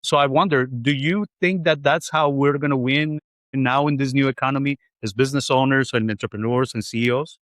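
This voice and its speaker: A middle-aged man from Colombia hosts a business podcast, his voice sitting at an ordinary middle pitch. He talks in long, winding runs, full of fillers and restarts. The sound is dry and close, with no room echo. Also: steady tone, a little fast, fairly bright tone. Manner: rambling, restarts, many fillers. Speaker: podcast host. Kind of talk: interview conversation.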